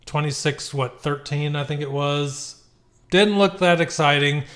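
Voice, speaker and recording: A man's voice: on the high side, unhurried, a bit bright. A man co-hosting a casual sports podcast, loose and chatty after a few beers. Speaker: podcast co-host.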